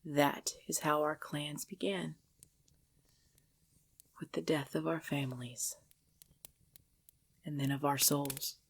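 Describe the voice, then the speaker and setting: A woman's voice: sits low, steady tone, husky, full character voice. A woman voicing an ancient, possessive vampire lover in an intimate ASMR roleplay, speaking breathily and formally, often dropping into a whisper. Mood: bitter, sorrowful. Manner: slow, formal, archaic phrasing, hushed.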